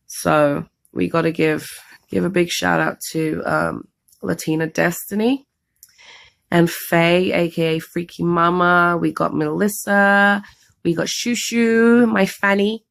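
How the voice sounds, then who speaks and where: A woman from London with a London accent, losing her voice to a cold, chatting casually and rambling through a story time for a vlog. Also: fairly low pitch. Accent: London English. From England, London. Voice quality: hoarse, gravelly. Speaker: vlogger co-host.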